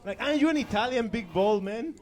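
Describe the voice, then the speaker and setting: A man's voice: very high voice, animated, quick pace, darkish tone. A man from Peru trading provocative jokes and banter in a comedy debate. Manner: joking, laughing, provocative.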